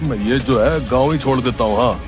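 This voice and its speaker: A henpecked village constable from a cartoon, acted by a man, whines in a plaintive, rustic way at a middle pitch. He sounds cowardly, with prominent music under him. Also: brisk, lightly acted. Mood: flustered. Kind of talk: cartoon voice acting.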